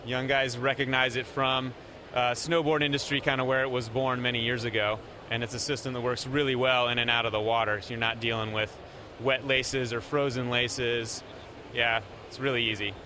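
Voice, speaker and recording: A man representing a company, explaining product features in a steady way with frequent pauses. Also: mid-range voice, a little hiss or hum.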